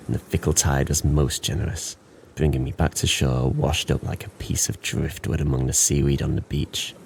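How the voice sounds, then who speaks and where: Very deep-voiced, brooding drunken sailor. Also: male voice, creaky, darkish tone, slight background noise. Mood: haunted, solemn. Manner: brooding, poetic, theatrical monologue. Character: guilt-ridden drunken former sailor, bleak and bitter. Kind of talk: audio drama acting.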